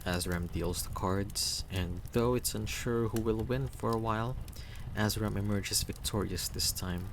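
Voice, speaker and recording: A man's voice: on the low side, measured pace, slight background noise. A man reading scripted second-person story narration aloud, as the narrator of a game.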